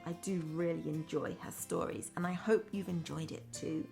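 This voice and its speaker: A woman's voice: sits low, normal speed, creaky, darkish tone, soft background music. A woman primary teacher reading a storybook aloud to young children, expressively, with simple chatty asides.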